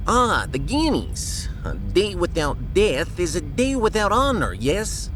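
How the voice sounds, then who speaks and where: A man playing a sharp-tongued female starship officer in a sci-fi audio drama, blunt and sardonic. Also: high voice, wildly swooping pitch, slow pace, full character voice. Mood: scornful. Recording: music behind.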